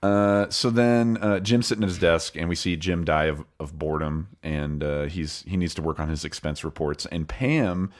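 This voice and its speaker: Very deep-voiced man, getting over illness. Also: normal speed, few pauses. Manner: laid-back, loose storyteller with fillers. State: recovering from illness, deeper voice. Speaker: podcast co-host. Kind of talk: casual chat recapping episodes.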